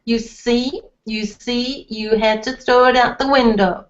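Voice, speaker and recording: Woman voicing a frantic mother, shrill, wailing, exasperated, storybook reading. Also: fairly even intonation, unhurried, highs cut off, lightly acted. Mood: complaining. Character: frantic middle-aged mother, shrill and dramatic.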